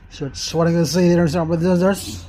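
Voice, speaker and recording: A man's voice: a little high, dark tone. A Filipino man, Bisaya his first language, rambling philosophically and laughing often in a casual chat to camera.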